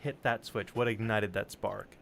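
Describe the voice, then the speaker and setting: A man's voice: lowish voice, fairly even intonation, normal speed, gravelly, fairly bright tone, a little hiss or hum. A man hosting an interview in a casual, wry and slangy conversational way. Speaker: interview show host.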